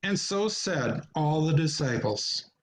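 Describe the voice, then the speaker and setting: A man's voice: a little high, animated, takes it slowly. A man narrating scripture from a script, recorded at home over a video call.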